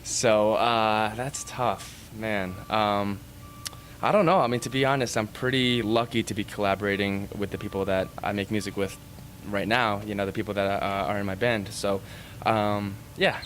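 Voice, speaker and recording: Man, 30s, musician, low pitch, halting casual interview answers. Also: fairly even intonation, fairly bright tone, a little hiss or hum. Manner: hesitant, rambling with many fillers. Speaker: band musician.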